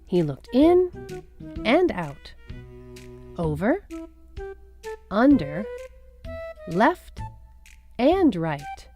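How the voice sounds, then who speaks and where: Lady doing an expressive kids storybook read along, medium pitch, bit of music under it. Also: very slow, long pauses. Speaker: storybook reader. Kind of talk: expressive read-aloud of children's picture books. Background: background music.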